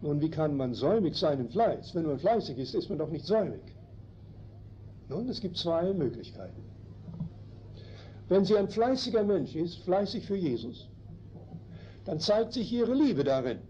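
A man from Canada whose first language is German, preaching a slow, emphatic sermon in a chapel that explains Bible verses. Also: a little high, big pitch swings, dark tone. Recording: dull lo-fi recording, faint noise.

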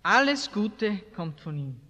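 A man's voice: high voice, very animated, slow pace, bright, forceful tone. A man with an Austrian accent preaching a colloquial sermon in a blunt, emphatic way, asking rhetorical questions. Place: large hall, preaching to a seated congregation. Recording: echoey hall with long reverb.

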